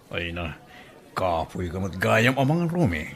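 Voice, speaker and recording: A man plays a jolly, insistent buddy in an Ilocano radio comedy drama. His pitch moves all over, and he speaks with an Ilocano Filipino accent. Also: big pitch swings, unhurried, fairly bright tone, full character voice. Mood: coaxing. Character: pushy, jolly male buddy urging others on.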